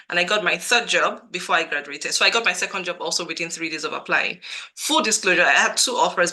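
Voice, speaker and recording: A woman's voice: fairly low pitch, pushed and bright. A woman from Nigeria hosting a live career webinar over a video call, earnest and repetitive for emphasis, her voice a little cracked from a cold. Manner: earnest, repeats herself for emphasis. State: has a cold, voice a bit cracked. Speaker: webinar host and career coach. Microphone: computer mic on a video call.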